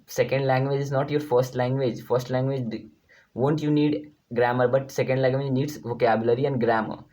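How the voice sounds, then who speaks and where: Male online tutor, Indian accent, Hindi first language. Informal teaching, halting and emphatic, mid-range voice, repeats points. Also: mid-range voice, steady tone, mellow. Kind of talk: informal lesson to viewers.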